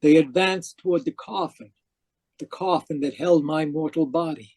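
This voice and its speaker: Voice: medium pitch, moderate pace, darkish tone, breathy edge, dry recording. An actor in a radio mystery playing a distraught, newly dead spiritualist, dramatic and earnest. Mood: haunted narration. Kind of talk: old-time radio mystery acting and narration.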